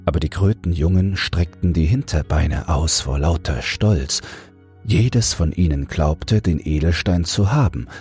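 A man narrating a fairy tale as a bedtime audiobook, in a calm, measured storytelling manner. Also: very deep voice. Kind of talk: scripted fairy-tale narration for falling asleep.

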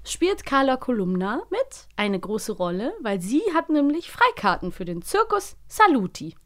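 Female podcast co-host, slightly high voice, chatty and conversational, performs quoted bits as she retells. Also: big pitch swings, normal speed. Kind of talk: casual chat about children's audio plays.

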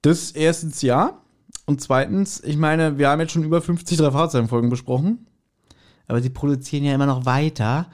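A man's voice: on the high side, fairly even intonation, brisk. A man chatting casually as a podcast co-host, wry and teasing. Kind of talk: casual chat.

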